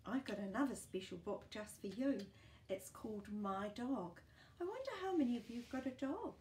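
A woman's voice: fairly high pitch, big pitch swings, normal speed, darkish tone, slight background noise. A woman in her sixties with a New Zealand accent reads a picture book aloud to young children, expressively, with chatty asides and questions. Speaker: children's storytime host. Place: small book-filled room indoors.

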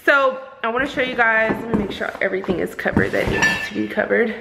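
A woman in her thirties chatting casually to viewers while filming herself at arm's length in her home kitchen, animated and slangy. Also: on the high side, fast, creaky, heavy background noise. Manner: animated, slangy, talks straight to viewers with frequent "okay?". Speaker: vlogger. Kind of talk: casual chatty haul vlog. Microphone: handheld camera at arm's length.

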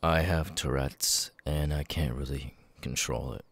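A man acts out a nervous, socially awkward young man for an ASMR audio roleplay. His voice is extremely deep and slow, breaking into stammers and tics. The sound is dry, with no room around it. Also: fairly even intonation, no room sound, heavily acted. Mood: nervous, apologetic. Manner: halting, stammering, sudden blurted tics.

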